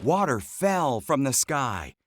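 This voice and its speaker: A narrator reading a children's Bible story in simple, measured short phrases, in a scripted storytelling style. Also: mid-range voice, sing-song. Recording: loud music under the voice. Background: loud background music.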